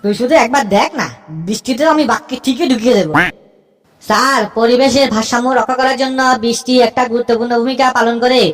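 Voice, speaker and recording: Woman voicing a cocky high-pitched cartoon schoolboy. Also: quick pace, soft background music, lightly acted. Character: cheeky schoolboy reciting essays with cocky confidence. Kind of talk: comic cartoon dialogue and rote recitation.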